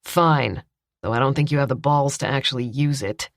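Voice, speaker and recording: A woman voicing a belligerent criminal man in an audiobook, speaking a little lower than her own voice. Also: normal speed, no echo, studio quality. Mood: sneering. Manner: sneering, belligerent. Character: menacing grown man, a nasty criminal.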